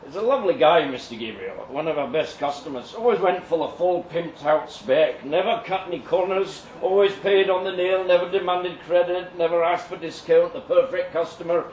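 A man's voice: a little high, normal speed, few pauses, darkish tone, close to an everyday voice. A man playing a chummy, glib limousine company boss in a live dramatic story reading. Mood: chummy, admiring. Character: chummy, glib limousine firm boss praising big spender. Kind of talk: dramatic monologue read live. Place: live reading in a pub venue. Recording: distant echoey live room, faint noise.

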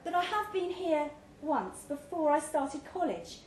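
A woman's voice: high voice, lively intonation, unhurried, a little mellow, voice pushed up. A woman reader voicing a restless, guarded woman traveller with a hesitant manner in a story. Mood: guarded, confiding. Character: restless, guarded woman drifter from England, awkwardly chatty. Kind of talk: character dialogue in a live story reading. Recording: roomy live recording with background noise.

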